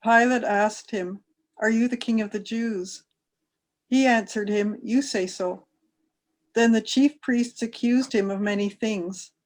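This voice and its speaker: A woman's voice: on the high side, fairly even intonation, measured pace, low-quality recording. A woman reading a scripture narrative aloud as a member of a church.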